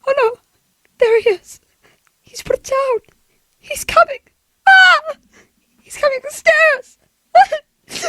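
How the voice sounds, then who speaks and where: An actress playing a frightened, demanding invalid wife alone at night in a radio thriller, with an imperious, nervous delivery. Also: female voice, extremely high pitch, fairly bright tone, dry recording, heavily acted. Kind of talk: old-time radio thriller acting.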